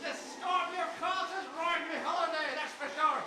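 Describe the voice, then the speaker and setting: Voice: extremely high pitch, fairly even intonation, moderate pace. A performer voicing a grumpy, bossy enchanted Irish fish in a live radio play, blunt and indignant. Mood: indignant, grumbling. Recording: very echoey hall, distant, loud noise.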